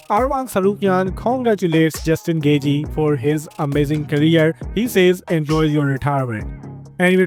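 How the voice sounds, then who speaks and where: A man's voice: fairly high pitch, darkish tone. A man with an Indian accent hosting a combat sports news update in scripted narration. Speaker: host of an MMA news channel. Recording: background music under the voice. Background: music.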